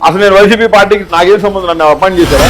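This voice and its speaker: Combative, very fast-talking man. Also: high voice. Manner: combative, repetitive, cuts off questioner. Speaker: interview guest. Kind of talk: heated political interview.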